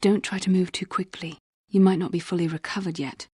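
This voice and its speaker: An actress playing an earnest, solemn young Jedi warrior in a video game, with formal, grave delivery. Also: female voice, fairly low pitch, steady tone, brisk, darkish tone, husky, no echo, studio quality. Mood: grave, sorrowful. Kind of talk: dramatic video game acting.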